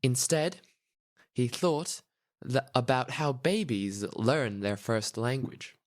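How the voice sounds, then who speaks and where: A man reads a prepared lesson script for language learners at an unhurried, deliberate pace. His pitch sits in an ordinary middle range, and he explains each point carefully and plainly. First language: English. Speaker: podcast host, language teacher. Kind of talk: slow, clear scripted narration for learners.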